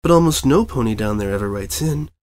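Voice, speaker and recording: A man's voice: mid-range voice, animated, fast talker, darkish tone, slight background noise, natural voice. A man voicing a meek, supportive young mare who works at a newspaper, in a fanfic audiobook reading.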